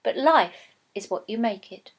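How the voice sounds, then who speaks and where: A wry, practical mother speaks in a dry, confiding way, as a woman storyteller voices her. The voice sits high and moves at an unhurried pace. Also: animated, breathy edge, heavily acted.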